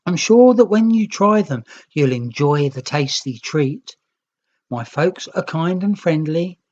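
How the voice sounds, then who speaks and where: Woman voicing a high, cheerful young storybook creature. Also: animated, takes it slowly, a little mellow, highs cut off, heavily acted. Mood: warm, inviting. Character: cheerful, kindly young green nix creature, eager to share. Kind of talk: children's storybook character voice.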